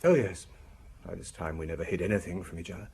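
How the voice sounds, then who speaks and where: An actor playing a weary, defensive husband suspected of murder in a radio crime drama, explaining himself haltingly. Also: male voice, low-pitched, a little mellow, a little hiss or hum. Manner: evasive, halting, self-justifying.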